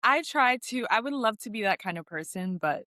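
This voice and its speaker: A woman's voice: a little high, expressive, fairly quick, pushed and bright, no room sound, clean studio sound. A young American woman from New York chatting casually as a podcast guest, informal and conversational with lots of "like". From New York, United States. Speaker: interview guest, actress.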